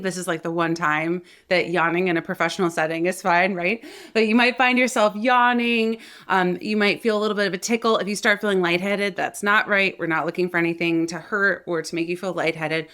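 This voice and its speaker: A woman's voice: medium pitch, a bit bright. A woman with a mid-range voice gives an instructional talk as a vocal coach. She addresses viewers directly, explaining each idea in an articulate, conversational manner. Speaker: voice coach and channel host.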